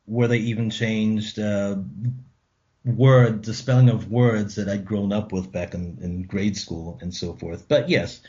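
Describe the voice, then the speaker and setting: Slow-spoken German-born man, hesitant. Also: fairly low pitch, steady tone, dark tone, dull audio. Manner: thoughtful, hesitant with many ums. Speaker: author and translator, guest. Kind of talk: radio interview.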